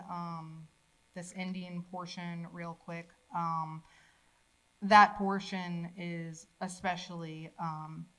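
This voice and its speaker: A woman's voice: on the low side, low-quality recording. Woman declaiming her own poetry. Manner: declamatory, rising and louder when reading. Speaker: poet reading her own work. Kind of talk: spoken-word poetry reading with asides. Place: college event room.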